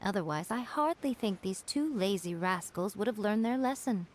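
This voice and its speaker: A woman's voice: mid-range voice, animated, normal speed, slight background noise, lightly acted. A woman voicing a haughty, teasing female fox-spirit shrine maiden in a video game, poised and knowing. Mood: smug, unhurried.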